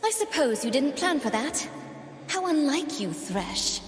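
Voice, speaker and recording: A woman playing a young doll-like champion in a video game, gentle but resolute, speaking with earnest precision. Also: high voice, sing-song, unhurried. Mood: firm, challenging. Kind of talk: video game character voice lines. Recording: long reverb, music underneath.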